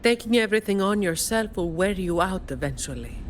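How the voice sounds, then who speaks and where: A woman voicing a serious, protective warrior in a video game, calm and terse. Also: mid-range voice, natural voice. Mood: resigned, serious. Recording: loud rushing ambient noise.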